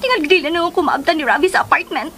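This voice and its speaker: A woman's voice: extremely high pitch, expressive, rapid-fire, fairly bright tone, slight background noise, full character voice. A woman acting in a radio drama as a scheming, gleeful spy who mutters and exclaims to herself in a melodramatic way. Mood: agitated, spying. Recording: studio radio drama over music.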